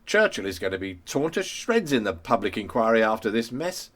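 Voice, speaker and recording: A man's voice: on the low side, big pitch swings, brisk, a bit bright. A man playing a sardonic, sociable wartime civil servant with a British accent in a radio play. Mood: cynical. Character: sardonic, sociable male wartime government office worker.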